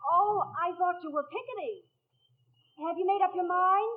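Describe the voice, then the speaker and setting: A woman's voice: extremely high pitch, moderate pace. An actress playing a poised, teasing young small-town woman in a 1940s radio drama. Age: young adult. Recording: tinny band-limited 1940s radio broadcast.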